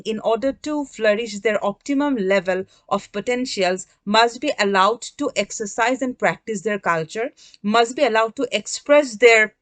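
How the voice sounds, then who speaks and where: A woman's voice: medium pitch, fairly bright tone, dry recording. A woman explains human rights in a formal, academic manner for a documentary interview. Her voice sounds dry and close, with no room around it.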